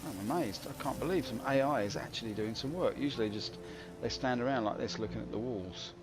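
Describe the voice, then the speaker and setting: A man's voice: medium pitch, fairly quick. A man streaming live gameplay, grumbling wryly and poking fun at himself as he plays. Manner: grumbling, wry and self-mocking. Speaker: game streamer. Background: game music.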